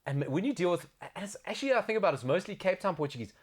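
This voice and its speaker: A man co-hosting a sports talk show, telling stories in a lively, jokey way. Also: sits high, racing, breathy edge. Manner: lively, jokey, does silly voices. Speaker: co-host. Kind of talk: casual studio banter.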